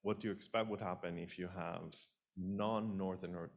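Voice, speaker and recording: A man in the audience asking a follow-up question at a conference, halting and full of restarts. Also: low-pitched, fairly even intonation, creaky.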